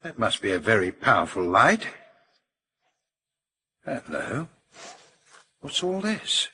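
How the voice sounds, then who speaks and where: An actor playing a brisk, sceptical police superintendent in a radio mystery, with a husky, forceful voice and an emphatic, questioning manner. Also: male voice, on the low side, very animated, heavily acted. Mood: observant. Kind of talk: radio mystery drama dialogue.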